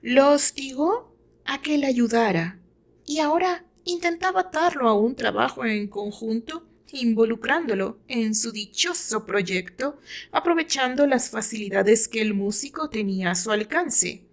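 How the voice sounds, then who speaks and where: A woman's voice: fairly high pitch, lively intonation, measured pace. A woman with a Mexican accent reading her own fiction aloud as dramatic scripted narration.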